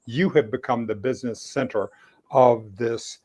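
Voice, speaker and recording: A man hosting a conversational interview in a deliberate, encouraging manner. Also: mid-range voice, fairly even intonation, a little mellow. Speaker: interview host.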